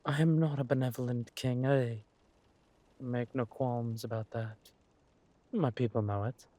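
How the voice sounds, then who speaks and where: A man playing a menacing, sadistic king who speaks with silky politeness and a deliberate, theatrical, taunting delivery in a dramatic roleplay. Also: medium pitch, steady tone, mellow, no echo, close to an everyday voice. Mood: cold threat. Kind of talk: dramatic villain roleplay audio.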